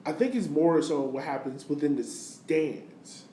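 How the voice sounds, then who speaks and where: Guy with a slightly high voice, casual sports podcast host, echoey room, faint noise. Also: animated, measured pace, dark tone.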